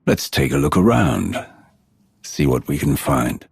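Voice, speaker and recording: A man playing a terse, commanding Sith warrior in a video game, with a husky, gravelly voice. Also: extremely low pitch, big pitch swings, a little slow, a little mellow, a little hiss or hum, heavily acted. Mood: resolute.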